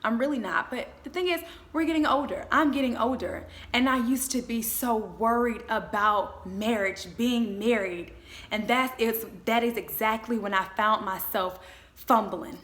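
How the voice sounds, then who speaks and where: A woman in her twenties giving a motivational faith talk, speaking in an emphatic, exhorting way with deliberate repetition. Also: on the high side, a bit bright. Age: 20s.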